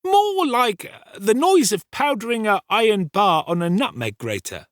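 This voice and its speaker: A man's voice: high voice, very animated, normal speed, dry recording, studio quality, voice pushed up. A man voicing a naive, meek young servant lad in a dramatised storybook reading, earnest and piping.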